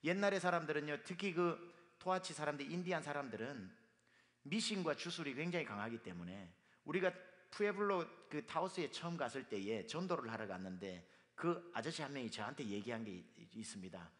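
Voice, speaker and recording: Man, pastor, hoarse voice, emphatic sermon delivery, slightly high pitch, hall reverb. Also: lively intonation, brisk, a bit bright. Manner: emphatic, with sung and quoted lines. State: hoarse from dust. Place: church sanctuary.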